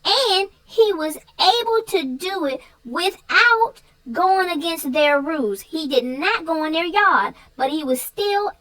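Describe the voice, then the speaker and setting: A woman's voice: very high voice, animated, slow pace, hardly any pauses, a bit bright, rough recording. A woman co-hosting a children's read-aloud show, chatting about a story with young viewers.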